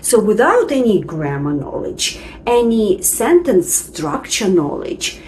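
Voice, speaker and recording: Slow, lively non-native English teacher. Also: female voice, medium pitch, a little hiss or hum. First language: not English. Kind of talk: scripted lesson narration.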